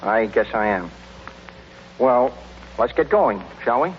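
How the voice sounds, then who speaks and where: An actor playing an easygoing, teasing young suitor in an old radio comedy, on a muffled recording with hiss. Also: male voice, mid-range voice, animated, slow pace, music in the background. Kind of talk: 1930s-40s radio comedy acting.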